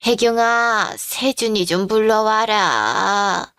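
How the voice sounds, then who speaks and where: Frail old woman acted by a female reader, confused, tender, slow delivery, dry radio drama. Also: mid-range voice, fairly bright tone, clear, resonant tone, no room sound. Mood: weary, confused. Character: frail confused elderly mother-in-law, tender and longing. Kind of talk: Korean radio-drama style story.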